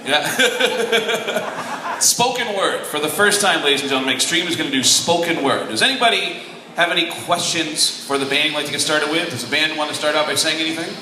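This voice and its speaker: A man moderating a fan Q&A, upbeat and quick with banter as he calls on the audience. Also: on the high side, animated. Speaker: panel moderator. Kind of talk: live event hosting. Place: event hall on a cruise ship. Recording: echoey room with crowd noise.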